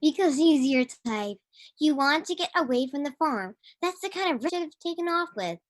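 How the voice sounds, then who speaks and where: Woman playing a high-voiced, reproachful farm sister. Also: expressive, moderate pace, no room sound, clean studio sound. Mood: bitter, reproachful. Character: reproachful, plain-spoken farm sister, bitter and protective. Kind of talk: live radio drama acting.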